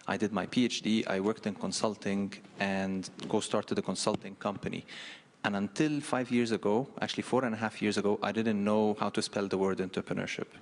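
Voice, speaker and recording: A man's voice: on the low side, steady tone, fairly quick, slight background noise. A middle-aged man from Egypt, speaking on a conference panel and explaining things in an orderly way with frequent fillers. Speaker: panelist, university lecturer. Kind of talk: panel talk. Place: large conference hall. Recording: long hall reverb.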